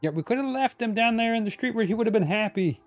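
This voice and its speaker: A casual game streamer with a very animated, swooping delivery. The commentary is chatty and reactive, with music faintly behind. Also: mid-range voice, fast talker. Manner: chatty, reads and reacts aloud. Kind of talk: casual live gameplay commentary.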